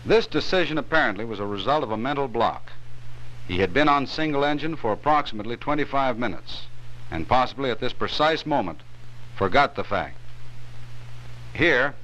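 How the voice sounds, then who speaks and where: A man with an American accent gives scripted instructional narration for a 1950s training film, in a measured, authoritative way. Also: medium pitch, animated, fairly bright tone. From United States. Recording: dull, noisy 1950s film soundtrack.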